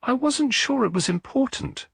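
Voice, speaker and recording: A man voicing a nervous, secretive woman in a story, confessing in a hushed, tremulous way. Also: extremely high pitch, animated, moderate pace, gravelly, breathy edge, no room sound, voice pushed up, exaggerated voice. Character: nervous, secretive female witness with notebook.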